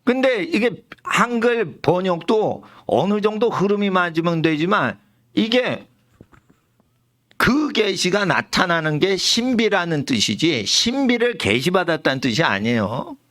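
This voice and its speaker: A middle-aged man preaching a sermon in church, emphatic and animated, dropping English words into his talk. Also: a little slow. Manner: animated, emphatic preaching with lively pitch swings. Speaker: pastor. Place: church sanctuary.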